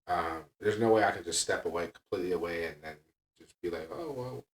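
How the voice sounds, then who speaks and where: Low-voiced blunt man in an echoey room. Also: steady tone, fast. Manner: emphatic, blunt, often checking with "right?". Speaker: podcast host and powerlifting coach. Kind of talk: candid, casual podcast conversation. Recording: echoey room, distant from mic.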